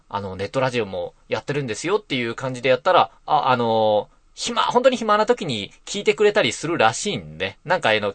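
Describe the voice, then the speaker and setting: A man's voice: mid-range voice, a bit bright, dry recording. A middle-aged man hosting a casual solo net radio show, rambling along in a self-deprecating way. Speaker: net radio host and voice actor. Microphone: close mic in a soundproof booth.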